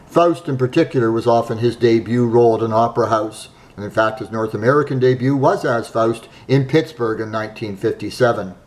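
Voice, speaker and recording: A man hosting a show, reading a scripted narration in a measured, admiring way. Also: mid-range voice. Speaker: host.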